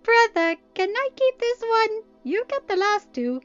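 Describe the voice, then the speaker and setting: A woman voicing an excitable, mischievous teenage princess, playful and eager, in a story reading. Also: extremely high pitch, unhurried, dull audio, faint music behind, pitched above the performer's own voice, exaggerated voice. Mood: gleeful.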